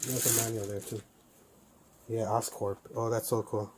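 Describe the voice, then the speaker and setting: A man's voice: fairly low pitch, level tone, moderate pace, darkish tone, a little hiss or hum, rough recording. A man doing a casual unboxing review, talking informally and thinking aloud as he goes. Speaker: toy collector reviewing a helmet. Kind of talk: casual unboxing vlog chat.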